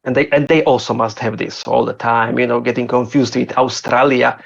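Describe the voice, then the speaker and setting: A man's voice: medium pitch, flat delivery, fairly quick, gravelly, lo-fi sound. A man from Slovakia doing open mic stand-up, hesitant, with many fillers and restarts. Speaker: stand-up comic.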